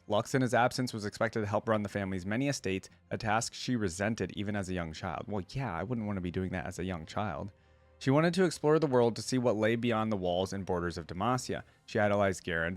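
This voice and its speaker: A man's voice: fairly low pitch, dry recording. A man hosting a reaction video, mixing read-aloud passages with casual, conversational commentary. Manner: conversational, pauses reading to comment.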